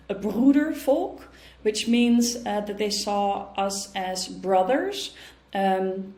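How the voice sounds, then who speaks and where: A woman's voice: medium pitch, darkish tone. Dutch lady teacher doing a recorded talk, lots of ums, kinda echoey room. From Netherlands. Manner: measured, with frequent ums and uhs. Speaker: history teacher giving a talk. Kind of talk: pre-recorded conference talk.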